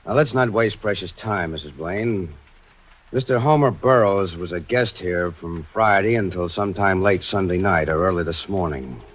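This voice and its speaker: Deep, husky radio detective. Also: male voice, lively intonation, moderate pace. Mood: insistent, skeptical. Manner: formal, pressing questioning. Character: probing, insistent, skeptical male detective. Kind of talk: old-time radio suspense acting. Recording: dull vintage radio recording.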